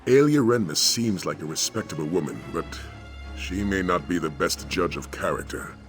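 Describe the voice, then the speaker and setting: An actor playing a gruff, wary warrior companion in a video game, speaking in a measured, dry way. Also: male voice, sits low, lively intonation. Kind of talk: video game character dialogue. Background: music.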